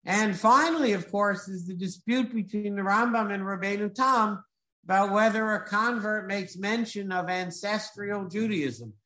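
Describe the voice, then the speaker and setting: High-voiced rabbi lecturing in a roomy space. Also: male voice, sharp tone. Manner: measured, explanatory, sprinkled with Hebrew legal terms. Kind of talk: halachic lecture.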